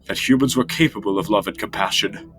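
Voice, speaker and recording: A man's voice: on the low side, fast talker, a bit bright, close to an everyday voice. A man voicing a big, grieving monster king and regretful father, earnest and solemn, in a dramatic comic dub. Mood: solemn grief.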